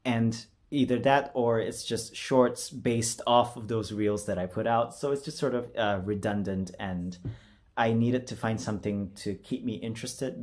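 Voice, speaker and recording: A man's voice: lowish voice, darkish tone. A young man talking casually to his viewers as a voice-acting creator, in a rambling, self-deprecating way. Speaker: voice actor and video creator.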